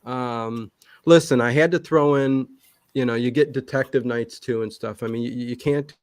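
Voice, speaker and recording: Man, casual interview talk, medium pitch, halting and emphatic, rambling, dry close sound. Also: fairly even intonation, no echo. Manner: halting, rambling, emphatic, often restarting sentences. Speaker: livestream channel host being interviewed.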